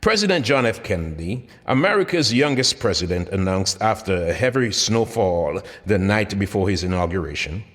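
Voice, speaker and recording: A man's voice: fairly low pitch, sing-song, normal speed, hardly any pauses, a bit bright. A man reading his own motivational book aloud as scripted narration, with dramatic, emphatic delivery. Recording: long hall-like reverb.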